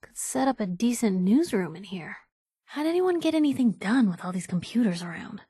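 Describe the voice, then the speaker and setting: A woman's voice: mid-range voice, very animated, normal speed, dark tone, breathy edge, dry recording. A woman voicing a wry, curious young female reporter and travel companion in a video game, talking in casual, dry banter. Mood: curious, musing.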